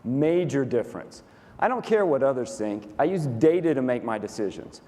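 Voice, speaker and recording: A man with a Texas accent, a company COO walking through a software demo onstage in a dry, matter-of-fact, methodical way. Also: medium pitch, very animated, moderate pace. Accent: Texan. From Texas, USA. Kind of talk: staged product demo presentation. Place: conference stage in a large hall. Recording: reverberant conference hall.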